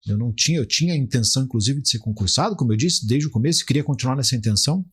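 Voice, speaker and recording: A man's voice: mid-range voice, lively intonation, very fast, a bit bright, husky. A Brazilian man in his forties giving conversational, explanatory interview answers as a podcast guest, often restarting his phrases. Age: 40s. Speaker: podcast guest, criminal defence lawyer.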